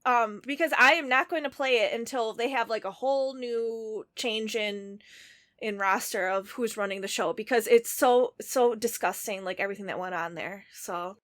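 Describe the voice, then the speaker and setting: A woman co-hosting a podcast rambles through her points in casual chat, animated and quick to swear. Her voice sits fairly high. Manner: animated, profane, rambling with fillers. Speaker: podcast co-host.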